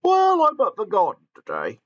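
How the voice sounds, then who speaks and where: A man voicing a clumsy, grumpy bearded character in a home-made storybook play, with squeaky exclaiming and stammering. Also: wildly swooping pitch, moderate pace, a bit bright, exaggerated voice. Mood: cheerful. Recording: dull lo-fi recording, faint music.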